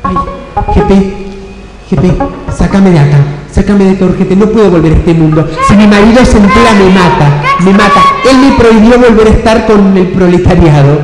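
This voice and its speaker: A woman's voice: mid-range voice, normal speed, close to an everyday voice. A woman playing a dramatic, rebellious wife turned wacky superheroine in a comedic radio play, wailing and exclaiming theatrically. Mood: pleading. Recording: echoey hall with loud music behind.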